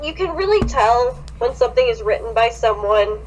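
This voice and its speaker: A woman's voice: a little high, lively intonation, moderate pace, a little mellow, slight background noise, poor audio. A young woman co-hosting a podcast, chatting casually with blunt, exasperated, sweary commentary. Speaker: podcast co-host. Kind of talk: casual chat, wry commentary.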